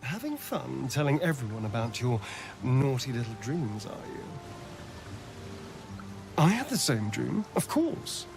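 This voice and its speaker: A man's voice: medium pitch, big pitch swings, measured pace, long pauses. A man playing a suave, sly, flirtatious companion in a video game, drawling playfully. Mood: teasing, sly. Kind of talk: video game character acting. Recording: music clearly behind, rain sounds.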